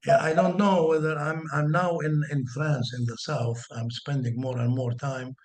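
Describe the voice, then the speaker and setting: A man invited as a guest to an online meeting, speaking politely and with a measured pace and some hesitation. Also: on the high side, level tone, background noise. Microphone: video call.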